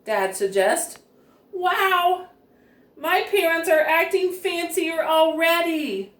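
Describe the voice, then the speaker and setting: A woman's voice: extremely high pitch, animated, slow pace, a bit bright. A woman reading a picture book aloud expressively, enunciating with care for young children. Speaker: children's story reader. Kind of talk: expressive storybook read-aloud. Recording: roomy echo, distant microphone.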